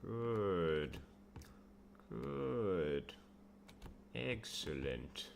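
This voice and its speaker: A man's voice: lowish voice, big pitch swings, extremely slow, vocal fry, slight background noise. A young man chatting casually on a live stream while he works, rambling through viewer questions with self-amused asides. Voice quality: says he has a loud voice. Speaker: game developer streamer.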